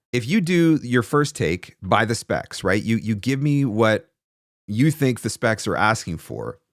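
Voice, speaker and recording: Lively, low-voiced man giving casual livestream advice. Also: no echo, clean studio sound. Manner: conversational, emphatic, repeats phrases for stress. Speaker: host and voice-over coach. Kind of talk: casual livestream advice, answering viewer questions. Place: small home studio, indoors. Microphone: close desk microphone on a stand.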